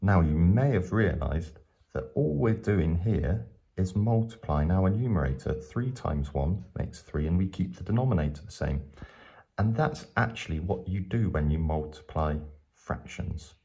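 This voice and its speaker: A man with a low, creaky voice gives a step-by-step maths lesson. He speaks slowly and patiently, repeating his points like a teacher explaining to a learner. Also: lively intonation, warm tone.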